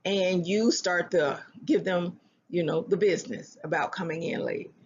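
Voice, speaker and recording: A speaker giving earnest relationship advice in a self-help talk, often restarting phrases and slowing down to stress a point. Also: medium pitch, muffled recording, a little hiss or hum. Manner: earnest, repeats and restarts phrases, slows for emphasis.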